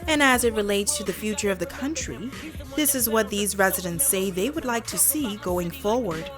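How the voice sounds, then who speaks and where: A woman's voice: mid-range voice, expressive, normal speed. Bahamian woman reporter over a music bed. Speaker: news reporter. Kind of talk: scripted news voice-over.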